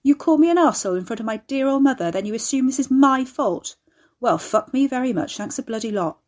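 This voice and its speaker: A woman's voice: on the low side, expressive, measured pace, breathy edge. A woman voicing an indignant, sarcastic, foul-mouthed young male monster in an audiobook story. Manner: sarcastic, aggrieved.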